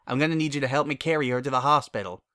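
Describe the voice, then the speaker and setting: A man's voice: medium pitch, racing, a bit bright, smooth, close to an everyday voice. A man voicing a plain-spoken, practical country farm mare, caring and firm, with a folksy, blunt manner. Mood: grave, urgent.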